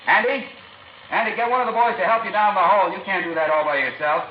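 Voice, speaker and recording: An actor playing a chatty, obliging American pool builder in a 1950s radio drama, with an informal, folksy manner. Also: male voice, sits high, fast, close to an everyday voice. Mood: calling out. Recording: dull old radio, loud engine noise.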